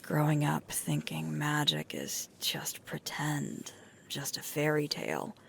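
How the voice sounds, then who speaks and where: A woman playing a sweet, tired alpha werewolf girlfriend in an intimate roleplay ASMR, murmuring softly and breathily close to the microphone. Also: low voice, sing-song, exaggerated voice. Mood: soft, musing. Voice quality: breathy, creaky, often whispering. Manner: slow, soft, unhurried intimate murmur with pauses.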